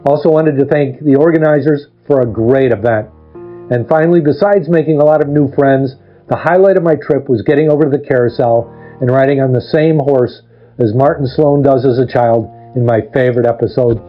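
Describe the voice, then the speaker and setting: A man with a medium-pitched voice records an informal thank-you message. The sound is slightly dull, with faint plucked guitar underneath. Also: brisk. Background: guitar music.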